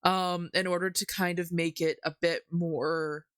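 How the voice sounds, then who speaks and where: A woman's voice: lowish voice, level tone, unhurried, a bit bright, clean tone, dry recording, clean studio sound. A woman hosting a livestream, chatting casually and jokily about folk tales in a rambling way. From Canada. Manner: jokey, giggly, rambling. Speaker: livestream host.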